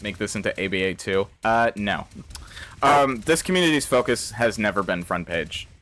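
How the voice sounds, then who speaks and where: A young man in his twenties hosting a live game stream, his voice sitting on the low side. He reads chat aloud and answers in loose, slangy banter, swearing freely and laughing between remarks. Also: expressive, normal speed, a bit bright. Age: 20s.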